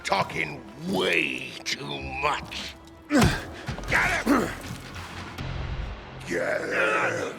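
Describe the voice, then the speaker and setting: Gravelly, swooping renegade thug. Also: male voice, fairly high pitch, wildly swooping pitch, full character voice. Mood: commanding. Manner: taunting, drawn-out. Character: menacing, mocking male renegade thug. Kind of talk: video game villain acting. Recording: loud music bed behind the voice.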